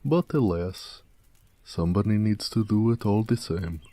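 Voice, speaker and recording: A man playing a proud, gentle orc blacksmith in an intimate, affectionate audio roleplay, speaking tenderly and modestly. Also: expressive, measured pace. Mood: playfully proud. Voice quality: deep, mellow.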